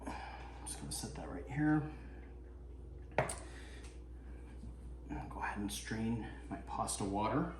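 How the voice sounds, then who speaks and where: A man's voice: fairly low pitch, lively intonation, unhurried, long pauses, roomy sound, noisy background. A man chatting casually as he cooks in his home kitchen, laid-back and swearing now and then. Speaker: home cook vlogger. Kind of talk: casual cooking narration.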